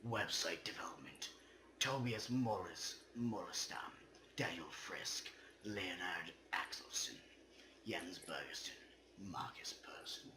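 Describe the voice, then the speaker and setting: A man giving a comedic dramatic reading in an over-the-top theatrical manner, his voice a little hoarse. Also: low voice, slow pace, background noise. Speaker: reader.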